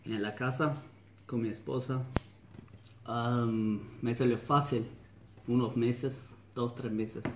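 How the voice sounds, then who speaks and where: A man's voice: medium pitch, fairly even intonation, slow, long pauses, echoey room, a little hiss or hum. A man from Italy speaking Spanish, in short clipped phrases. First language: Italian. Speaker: interviewee. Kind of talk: short recorded self-introduction.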